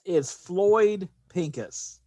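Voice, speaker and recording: Middle aged dude podcast cohost, kinda high voice, opinionated rambly movie talk, super dry sound. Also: male voice, animated, extremely slow, mellow, no room sound. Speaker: podcast co-host. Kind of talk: casual film chat.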